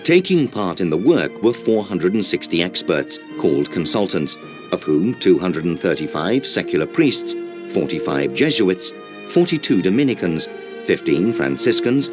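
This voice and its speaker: A man with a deep voice delivers formal documentary narration. His pitch moves in a lively way over clearly audible music. The reading is scripted and factual. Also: normal speed.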